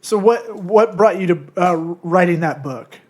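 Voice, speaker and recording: A man's voice: high-pitched, animated, measured pace. A man co-hosting a podcast with casual, self-deprecating, profane comic chat and a tendency to mumble. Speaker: podcast co-host. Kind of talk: casual comedic interview chat.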